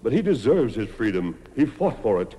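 An actor playing a heroic masked Western lawman, steady and gentle with horses, in old-time radio style. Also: mid-range voice, moderate pace, close to an everyday voice. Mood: calm, earnest. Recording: noisy old radio recording.

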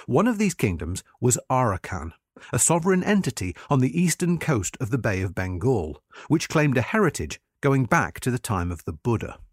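A man's voice: mid-range voice, very animated, moderate pace, slightly rough, no echo, studio quality. A man reading a magazine article aloud as scripted, documentary-style narration.

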